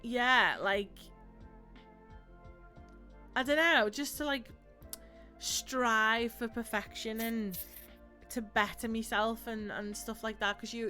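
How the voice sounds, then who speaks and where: A woman hosts a relaxed live stream, unhurried and full of "like" and "um". Her voice sits fairly high and comes across bright and forward. Soft music plays faintly behind her. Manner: chatty, laughs often, many fillers. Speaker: streamer host. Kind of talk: casual chat on a live stream.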